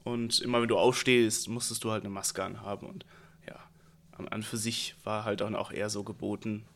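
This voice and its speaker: A young man with a stuffy nose from a cold, telling a relaxed, rambling story in slangy casual chat as a podcast host. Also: lowish voice, normal speed, gravelly.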